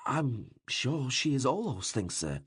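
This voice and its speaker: A man's voice: mid-range voice, sing-song, takes it slowly, a bit bright, husky, dry recording, studio quality, voice pushed up, heavily acted. A male narrator voicing a nervous young male assistant who stammers and hesitates. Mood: flustered.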